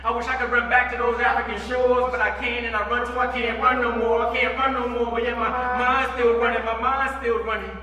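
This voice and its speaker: A man's voice: extremely high pitch, flat delivery, brisk, hardly any pauses, pushed and bright, muffled recording. A man performing dramatic spoken word poetry with an impassioned, rhythmic delivery, recorded at a distance in an echoey concert hall. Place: large reverberant indoor performance space.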